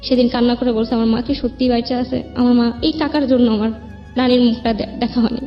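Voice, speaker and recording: A woman's voice: on the high side, level tone, fast talker. A woman with a Bangladeshi accent tells her personal story in an interview, plainly and at length, often quoting what others said. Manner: rambling, plain-spoken narration with quoted dialogue. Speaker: guest telling her life story. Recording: band-limited dull sound with background music.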